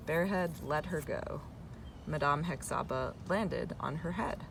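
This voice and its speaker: A creaky-voiced reader tells a folktale aloud. The voice sits a little away from the microphone, with clear noise behind it. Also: medium pitch, a little slow. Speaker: story reader. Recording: noisy, distant microphone.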